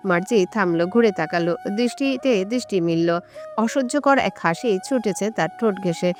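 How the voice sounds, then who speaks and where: A woman's voice: mid-range voice, moderate pace, darkish tone. Female story narrator, mid-range voice, scripted romance reading, light music bed.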